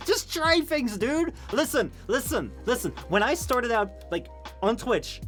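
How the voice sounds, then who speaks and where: A man's voice: high-pitched, fairly bright tone. A tired young man, a Dutch native speaker, chatting casually and rambling with his live-stream chat, full of fillers and restarts. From Netherlands. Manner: rambling, many fillers and restarts, laughs often.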